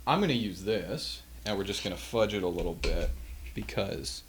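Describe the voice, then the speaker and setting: A man with a fairly low voice talks through a hands-on demonstration of vintage equipment. He is casual and unscripted, with a wry, self-deprecating manner. A faint layer of noise sits behind his voice. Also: animated, normal speed.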